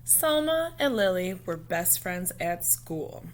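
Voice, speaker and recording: A woman reading a children's picture book aloud expressively, in measured phrases with pauses, as a storyteller speaking to young listeners. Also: lowish voice, very animated, slight background noise.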